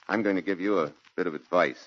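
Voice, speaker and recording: An actor playing an unbending commanding officer in a 1940s radio drama, clipped and authoritative. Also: male voice, sits low, quick pace. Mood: stern, commanding. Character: stern, unbending male military commanding officer. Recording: muffled 1940s radio recording.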